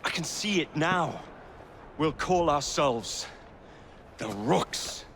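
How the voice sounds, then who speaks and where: A man's voice: a little high, animated, slow, fairly bright tone, a little hiss or hum, heavily acted. An actor playing a cocky, impulsive assassin in his twenties in a video game, with an English accent and a brash, teasing manner. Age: 20s. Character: cocky, impulsive young male assassin twin, Jacob Frye. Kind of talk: video game cutscene acting. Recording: faint background ambience.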